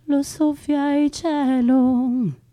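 A woman performing a theatrical, melancholy love song with slow, drawn-out phrasing. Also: sits high, steady tone, dark tone, no echo.

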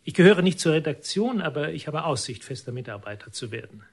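A man's voice: fairly high pitch, big pitch swings, a little fast, husky, heavily acted. A man playing a self-important, ingratiating visitor in a radio drama, speaking in a formal, polite and pompous manner.

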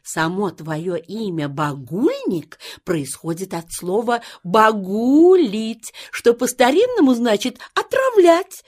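A high-voiced, mocking toadstool. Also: female voice, very animated, takes it slowly, hardly any pauses, a little mellow, exaggerated voice. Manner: mocking, knowing. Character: sharp-tongued, teasing pale toadstool. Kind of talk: children's audio play acting.

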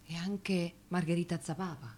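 A woman's voice: lowish voice, fairly even intonation, normal speed, darkish tone, lightly acted. An actress playing a feisty young working-class woman in a radio play, emphatic and slangy, with a Roman accent. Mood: indignant, gossipy. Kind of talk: theatrical radio drama dialogue.

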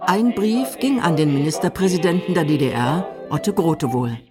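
A woman's voice: fairly low pitch, expressive, normal speed, music in the background. A woman from Germany, reading scripted documentary narration.

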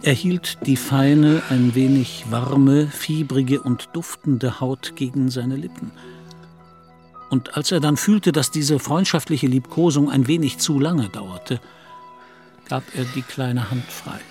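A man with a mid-pitched, distinctly gravelly voice reads scripted literary narration for a radio play. There is a creaky fry in his tone. Faint music plays behind him. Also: takes it slowly, a little mellow.

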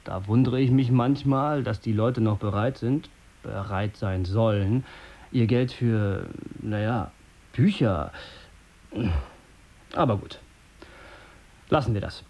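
An actor playing a condescending, self-important middle-aged radio editor in a German radio drama, pompous, impatient and sarcastic. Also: male voice, lowish voice, takes it slowly, long pauses, warm tone, dull audio. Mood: smug, sardonic.

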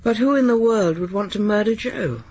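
A woman's voice: medium pitch, lively intonation, moderate pace, warm tone, slightly rough. An actress playing a reserved, composed married woman in a radio drama, guarded when questioned. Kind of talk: 1980s BBC radio drama acting.

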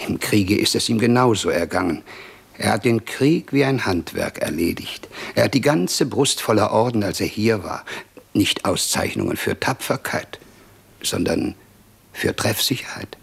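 A chatty older fella in an old radio play, low raspy voice, lamenting and gossiping. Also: male voice, exaggerated voice. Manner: chatty, exclamatory, rambling storytelling. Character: garrulous older Russian prosector, wryly lamenting, gossipy. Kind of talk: 1950s German radio play acting.

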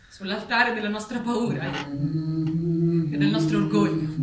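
Echoey, slow, preaching animal revolutionary leader played by a woman. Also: mid-range voice, lightly acted. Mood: grave, guilty. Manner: solemn, preaching, declamatory, with long pauses. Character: female farm animal, solemn manipulative revolutionary leader turned master. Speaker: stage actress. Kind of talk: theatrical stage monologue, political oratory. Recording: echoey stage, distant mic, faint noise.

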